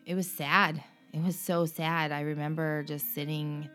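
A woman guest in an interview, speaking earnestly and emotionally about her own experience, with frequent pauses and restarts. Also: low-pitched, little pitch movement, a bit bright, faint music behind. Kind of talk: candid personal interview.

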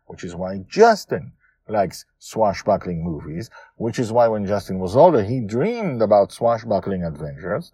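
A man's voice: sits low, slightly rough, dry recording. A man hosting a story podcast, talking informally and emphatically about his own serial. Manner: animated, emphatic, self-correcting.

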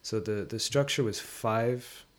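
A man speaking in a thoughtful, conversational discussion, halting and reflective as he searches for words. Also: on the low side.

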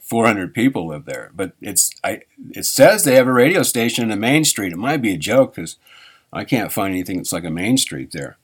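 A man hosting a live radio show, talking in a loose, halting way, correcting himself and chuckling between thoughts. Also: lowish voice. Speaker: radio host. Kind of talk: rambling live radio chat.

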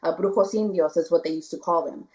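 A woman from the Rio Grande Valley in Texas, a guest talking casually in an interview, soft-spoken with many fillers and restarts. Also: fairly low pitch, fast, crowd noise, rough recording. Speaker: guest, spiritual candle maker.

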